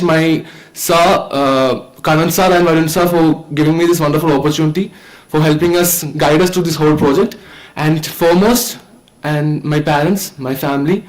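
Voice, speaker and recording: Indian guy about 19, kinda high voice, earnest stumbling thank-you speech. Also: poor audio. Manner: earnest, halting. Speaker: student filmmaker. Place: event stage at a film festival.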